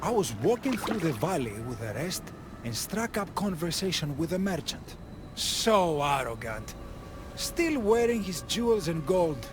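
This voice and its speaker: A man's voice: a little high, very animated, measured pace, over music. An actor playing a fussy, peevish dead man in a video game, haughty and complaining. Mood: grudging recall. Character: fussy, indignant robbed dead man in the underworld. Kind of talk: video game dialogue acting.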